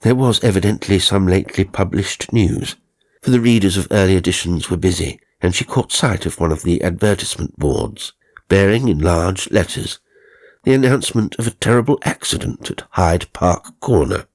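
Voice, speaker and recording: A man narrating a scripted ghost story as an audiobook reader, in a theatrical, measured storytelling manner. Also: deep voice.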